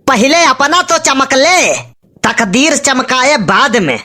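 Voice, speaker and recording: A woman voicing a picky, sharp-tongued cartoon villager who argues with dry retorts in a colloquial Awadhi accent. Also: high voice, animated, slow, full character voice. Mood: scornful. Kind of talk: comic cartoon dialogue in village dialect.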